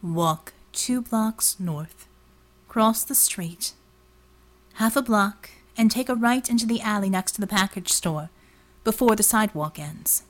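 Woman voicing a slow, breathy, guarded informant. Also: close to an everyday voice. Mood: coolly neutral. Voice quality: delicate, breathy, low. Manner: carefully neutral, measured, slow and deliberate. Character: delicate, slouching informant, cryptic, demanding and coolly guarded. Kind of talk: audiobook character dialogue.

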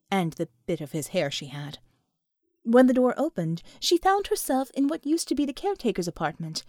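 A woman reading scripted fiction as an audiobook narrator, with expressive, dramatic storytelling. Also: on the high side, no room sound, clean studio sound.

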